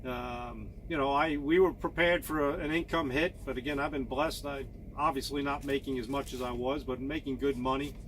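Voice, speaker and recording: A man in his fifties, a truck driver, talking casually to camera in a monotone, halting way with many fillers and repeated words. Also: mid-range voice, slight background noise, low-quality recording. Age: 50s.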